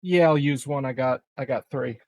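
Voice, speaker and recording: A man's voice: mid-range voice, normal speed, a little mellow. A man chatting casually as a player in a tabletop roleplaying game, laid-back and a little hesitant.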